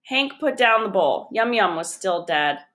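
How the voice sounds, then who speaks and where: A woman reading a children's chapter book aloud, narrating it expressively and deliberately. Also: mid-range voice, lively intonation, fairly bright tone. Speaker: storybook reader.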